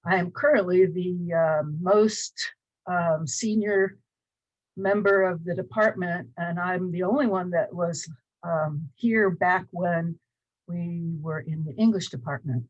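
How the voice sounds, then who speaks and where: A woman's voice: fairly low pitch, slow, highs cut off. Older woman with Northern US accent. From Cleveland, Ohio. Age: 60s. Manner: chatty, self-deprecating, many um fillers. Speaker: college instructor. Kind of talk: recorded course introduction. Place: small home office. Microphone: webcam at desk.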